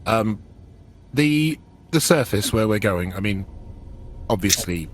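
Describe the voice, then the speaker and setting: A man's voice: on the low side, lively intonation, slow. A man playing a dutiful, slightly nervous vault guard in a tabletop game, polite, formal and a bit hesitant. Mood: respectful, hesitant. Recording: clear background noise.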